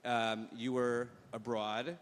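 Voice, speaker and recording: A man with an American accent hosting an onstage conference interview, rambling and halting with many fillers. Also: mid-range voice, little pitch movement, clean tone. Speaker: host. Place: large hall on stage. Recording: reverberant hall, faint noise.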